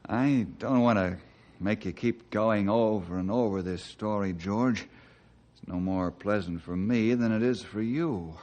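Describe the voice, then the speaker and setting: A man playing a steadfast, fair-minded ranger in an old-time radio western, speaking earnestly and deliberately. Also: fairly low pitch, darkish tone, lightly acted. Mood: patient.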